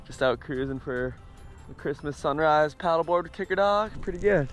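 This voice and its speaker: A man in his thirties hosting a casual vlog, playful and given to sudden high excited shouts, filmed on a handheld action camera. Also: moderate pace. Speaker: vlog host. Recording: music playing behind.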